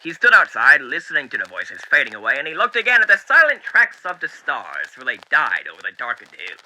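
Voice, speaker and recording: Dapper, sinister male radio demon, theatrical storybook reading, piercingly bright, animated pitch, old radio filter. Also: slight background noise, full character voice, rough recording. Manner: theatrical, deliberate, with hushed dips and swells. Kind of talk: dramatic book reading as old-time radio host.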